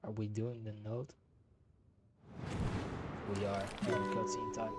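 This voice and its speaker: A woman's voice: a bass voice, level tone, slow pace, over music. A woman streamer giving casual, slangy, exclamatory live reactions to a game.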